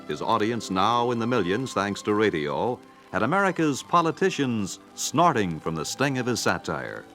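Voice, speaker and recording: A low-voiced man reads scripted documentary narration with a lively, varied delivery. Music plays prominently behind him. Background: background music.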